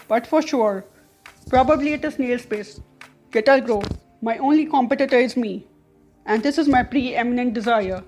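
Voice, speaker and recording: Higher-pitched woman reciting poetry. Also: a little mellow. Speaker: poet reciting own poem.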